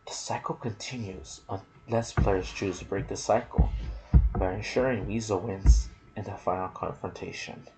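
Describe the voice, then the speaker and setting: Male ASMR gaming host, soft and intimate delivery slipping into whispers, low gravelly register. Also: steady tone, moderate pace, low-quality recording. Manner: soft-spoken, sometimes dropping to a whisper. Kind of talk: ASMR gaming commentary, reading trivia with asides.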